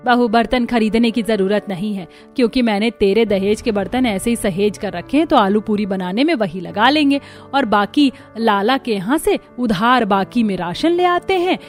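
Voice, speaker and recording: An older village mother-in-law voiced by a woman, thrifty and kindly. She has a fairly high female voice over background music. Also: expressive, normal speed, hardly any pauses, natural voice. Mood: practical. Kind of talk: Hindi moral-story cartoon dialogue. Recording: music behind the voice.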